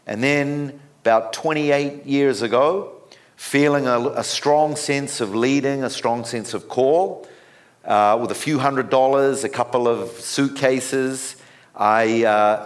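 A man in his fifties with a New Zealand accent, giving a sermon as a guest speaker and often stopping on fillers and restarts. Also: medium pitch. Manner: halting, with many fillers and restarts.

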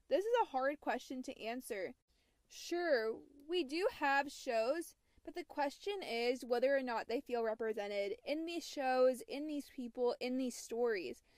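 Woman, podcast host, high voice, earnest scripted delivery. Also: clear, resonant tone, no echo.

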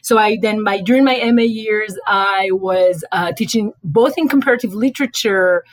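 A woman's voice: medium pitch, moderate pace, no room sound. Israeli-accented woman, chatty and dry-sounding. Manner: chatty, thinks aloud with many fillers and restarts. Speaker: guest, writing and life coach. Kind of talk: casual interview talk.

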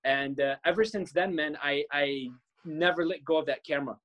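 Young man from Palestine, podcast guest, earnest storyteller, slightly high voice. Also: moderate pace, a bit bright. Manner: earnest, restarts words often. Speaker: filmmaker guest.

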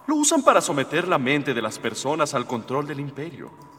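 A man's voice: a little high, wildly swooping pitch, normal speed, sharp tone, in a large hall, heavily acted. A man playing a gallant, idealistic young alien rebel prince in a comic radio drama, earnest and formal. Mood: lofty, earnest.

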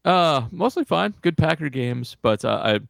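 A man's voice: fairly high pitch, sing-song, normal speed, creaky, dry recording. A man co-hosting a casual sports podcast, speaking in a dry, wry, grumbling way. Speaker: podcast co-host.

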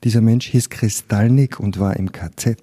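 A man's voice: fairly low pitch, normal speed, warm tone, slightly rough. An older man from Carinthia with an Austrian accent, a physician giving candid, reflective personal testimony in an interview. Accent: Austrian German (Carinthian).

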